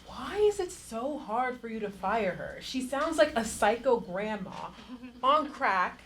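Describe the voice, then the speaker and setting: A woman plays a sardonic, opinionated female bartender. Her mid-pitched voice is very lively, recorded live with noticeable noise. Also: measured pace. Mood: exasperated. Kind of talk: live comedy table read. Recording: noisy live stage.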